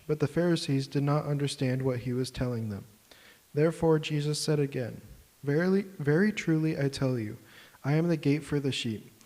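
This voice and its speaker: A man reading scripture aloud in church, measured and steady. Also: a little high. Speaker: scripture reader. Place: church sanctuary.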